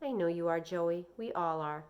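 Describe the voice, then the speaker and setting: A woman's voice: fairly low pitch, moderate pace, muffled recording, lightly acted. Woman voicing a kindly, firm schoolteacher. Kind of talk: children's novel read aloud.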